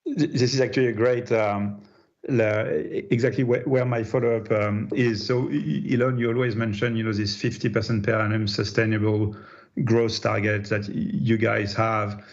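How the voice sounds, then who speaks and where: Guy caller asking stuff on an investor call, slightly deep, stop-start, muffled audio. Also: little pitch movement, normal speed. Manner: hesitant, stop-start phrasing. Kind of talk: earnings call question, unscripted. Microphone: conference call line.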